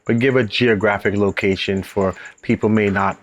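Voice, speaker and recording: A man's voice: lowish voice, fairly even intonation, moderate pace. A man who is a pastor, hosting a church interview series, introducing his guest and asking questions in a welcoming way. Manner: welcoming, brief affirming interjections.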